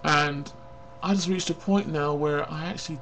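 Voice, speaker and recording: A man's voice: a little high, fairly even intonation, normal speed, fairly bright tone, slight background noise, low-quality recording. A man of forty talking frankly and bluntly to a webcam in a personal confessional vlog, rambling and reflective. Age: 40s. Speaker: vlogger.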